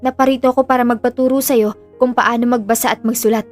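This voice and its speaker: Woman doing a burdened young filipina confiding in someone, audio drama, high voice, subdued, bit of music behind. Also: steady tone, fast, natural voice. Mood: pleading, grateful. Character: earnest, burdened young orphaned woman confiding in her friend. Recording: music under the voice.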